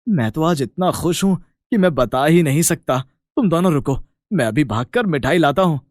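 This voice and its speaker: A man plays a humble village farmer in a cartoon bedtime story. His voice sits fairly high and is recorded dry. He is an affectionate elder brother with an earnest, fretful way of talking. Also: expressive, normal speed, dry recording, lightly acted. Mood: joyful.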